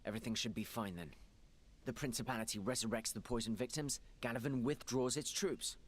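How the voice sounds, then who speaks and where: A man playing a grim, urgent adventurer leading his party in a fantasy game, terse and decisive, with a husky voice. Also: mid-range voice, brisk, lightly acted. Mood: skeptical. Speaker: game character, leader of adventurers. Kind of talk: fantasy video game cutscene acting.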